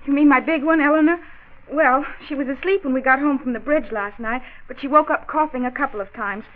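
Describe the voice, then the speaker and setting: A woman's voice: high-pitched, a little fast, close to an everyday voice. An American actress playing a flustered, apologetic young mother and housewife in a 1940s educational radio drama. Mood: anxious, hospitable. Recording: tinny, narrow-band 1940s radio recording.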